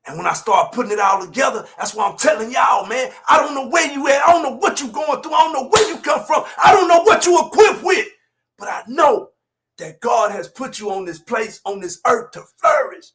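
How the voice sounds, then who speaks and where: A man, preaching motivation in a colloquial, emphatic and repetitive way, moving between low asides and shouted exhortation. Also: lively intonation, a little fast. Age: 40s. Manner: colloquial, direct address to listeners. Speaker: motivational speaker. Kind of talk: fiery motivational preaching on a livestream.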